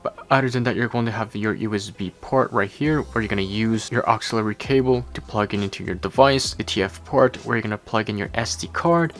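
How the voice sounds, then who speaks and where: Guy with a kinda low voice doing a gadget review, explains stuff step by step. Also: a bit bright. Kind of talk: product review voice-over.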